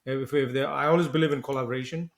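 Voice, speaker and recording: A man's voice: mid-range voice, steady tone, quick pace, vocal fry. A man from Nepal hosting a podcast interview, rambling and repeating words as he frames his questions. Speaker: podcast host.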